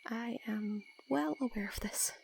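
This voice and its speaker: A woman's voice: fairly high pitch, unhurried, vocal fry, darkish tone, a little hiss or hum. A woman playing a sarcastic, bossy young assassin roommate with dry, teasing delivery in an audio roleplay. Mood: teasing, flustered. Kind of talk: casual audio roleplay acting.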